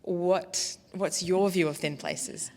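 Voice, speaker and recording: A woman's voice: lowish voice, steady tone, slow, a little mellow. A woman panellist at a public talk, thoughtful and musing, often saying "sort of". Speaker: panel speaker. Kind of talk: panel discussion answers. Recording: long hall reverb.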